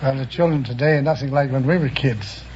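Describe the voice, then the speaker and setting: A man's voice: mid-range voice, quick pace, a little mellow. An older man answering a host's questions as a contestant on a vintage radio quiz show. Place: broadcast studio with audience. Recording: dull noisy vintage radio broadcast.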